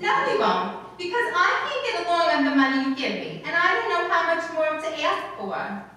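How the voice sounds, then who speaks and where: A woman's voice: very high-pitched, big pitch swings, moderate pace, bright, forceful tone, exaggerated voice. A woman playing a scatterbrained, chatty, blithely confident wife in an old-time radio comedy, on stage in a hall. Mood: earnest. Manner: ditzy, emphatic, breezy malapropisms. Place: live stage in an indoor hall, distant camera. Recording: echoey hall, far from microphone.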